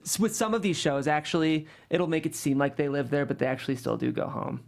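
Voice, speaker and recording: A woman with a low voice and animated intonation speaks at a quick pace. She responds casually in a workshop conversation. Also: gravelly.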